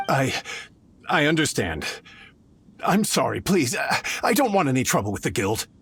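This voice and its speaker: An actor playing a greedy merchant who haggles hard and turns nervous and pleading, in video game voice acting. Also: male voice, medium pitch, big pitch swings, moderate pace, creaky, fairly bright tone, breathy edge, heavily acted. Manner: wheedling, backs down when threatened.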